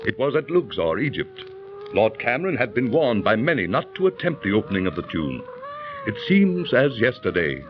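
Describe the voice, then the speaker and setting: A grave judge forever warning of doom played by a man, with a mid-pitched voice that rises and falls widely. He declaims solemnly on a muffled, faintly noisy 1930s radio recording. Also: sing-song, moderate pace, lightly acted. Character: grave, cautionary male judge haunted by a warning. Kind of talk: 1930s radio drama acting.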